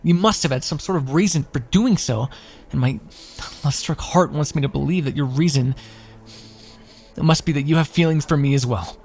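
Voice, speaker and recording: A man's voice: fairly high pitch, fairly quick, a little mellow, soft background music, natural voice. A man playing a smug, lovestruck royal in an ASMR fantasy roleplay, with theatrical, teasing, courtly phrasing. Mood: exasperated, lovestruck.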